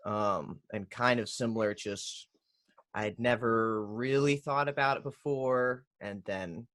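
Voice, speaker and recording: A young man from Pennsylvania introducing himself in a relaxed, casual way on a video call. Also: mid-range voice, measured pace. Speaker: coding program participant. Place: indoor bedroom. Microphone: studio mic with headphones.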